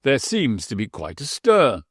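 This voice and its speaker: A man as an easygoing gentleman traveller. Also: medium pitch, huge pitch swings, a little slow, breathy edge, dry recording, clean studio sound, heavily acted. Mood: curious. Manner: easy, gentlemanly questioning. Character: genteel male traveller on horseback, relaxed and curious.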